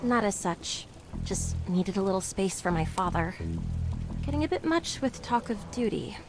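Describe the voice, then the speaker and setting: A woman's voice: medium pitch, animated, moderate pace, lightly acted. An actress playing a proud, restless young Spartan warrior woman in a video game, candid and earnest, with music behind her. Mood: reluctant.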